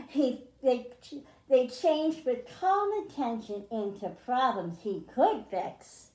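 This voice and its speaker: High-voiced woman reading slowly to children. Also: a little mellow, roomy sound, poor audio. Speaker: library storytime host. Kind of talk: children's picture book read-aloud.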